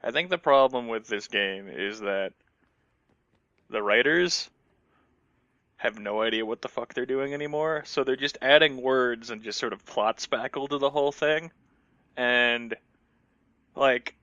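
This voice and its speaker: Bright, sardonic man on a dull dry mic. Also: medium pitch, measured pace, long pauses, no room sound. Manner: sardonic, grumbling, profane. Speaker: Let's Play commentator. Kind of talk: casual gaming commentary with a co-host.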